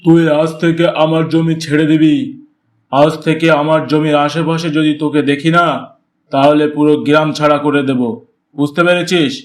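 A man's voice: fairly high pitch, flat delivery, normal speed, close to an everyday voice. A man voicing a callous, blustering village landlord in a cartoon story. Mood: scornful, threatening. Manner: haughty, blustering. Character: callous, bullying village landlord, cowardly when scared.

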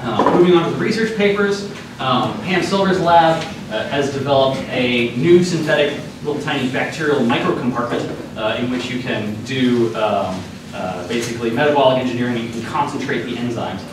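A very reverberant lecture-hall recording of a young man, picked up from a distance with clear noise behind him. He presents informally, in a halting manner, with frequent filled pauses and hedges. His pitch is average for a man. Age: young adult. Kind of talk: informal academic news presentation. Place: lecture hall, speaking at the front. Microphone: far camera microphone.

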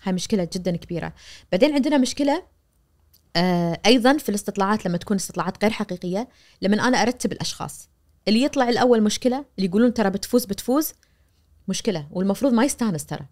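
A woman in her forties with a Kuwaiti accent, a media professor explaining things animatedly as a guest on a studio podcast, recorded on a boom mic. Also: rapid-fire. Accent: Kuwaiti Arabic. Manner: animated, gesturing, acts out quoted voices. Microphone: boom-arm studio mic.

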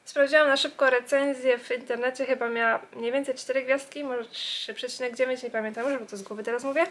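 A woman's voice: high-pitched, steady tone, fast, a bit bright. A woman vlogger chatting casually and informally, speaking directly to her viewers. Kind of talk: casual vlog chat.

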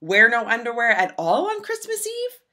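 Lady reading a picture book to little kids, really animated, bright crisp sound. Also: fairly high pitch, normal speed. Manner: animated, dramatic storytelling with emphasis. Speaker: children's storybook reader. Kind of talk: expressive scripted read-aloud narration for young children.

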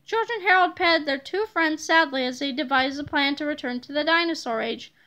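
A woman reads a children's book aloud as a narrator. Her voice is strikingly high and bright, captured at home on a handheld device. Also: a little fast. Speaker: storybook reader.

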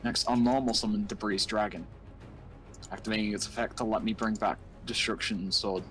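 A man's voice: lowish voice, fairly even intonation, normal speed, fairly bright tone, soft background music, poor audio. A young man talking casually and explaining his moves as a player in a card-game roleplay. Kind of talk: casual gameplay roleplay chat.

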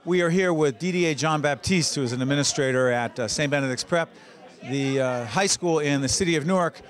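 A male host conducting an interview outdoors at a festival. His voice sits a little high, and he presses his guests with pointed, leading questions, sometimes restarting mid-phrase. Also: fairly quick. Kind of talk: on-location interview.

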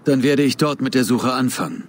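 A grave, resolute warrior and protector from a video game voiced by a man. His voice is mid-pitched and husky. He speaks in a measured, earnest way. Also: natural voice.

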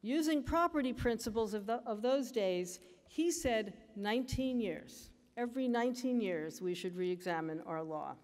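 An older American woman giving a formal graduation speech at a lectern, with a measured, emphatic delivery. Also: fairly high pitch, darkish tone, big-room sound. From United States. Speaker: commencement speaker. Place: large auditorium stage at a lectern. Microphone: lectern microphone.

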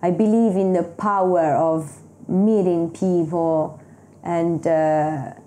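A woman's voice: on the low side, steady tone, takes it slowly, dark tone. A woman from Rome with an Italian accent, giving a conversational personal account as a documentary interviewee. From Rome, Italy. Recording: music playing behind the voice. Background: background music.

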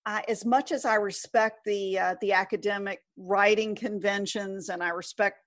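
A woman's voice: medium pitch, fairly even intonation, normal speed, dry recording, clean studio sound. A middle-aged woman answering interview questions as a guest author, in a thoughtful, articulate conversational way.

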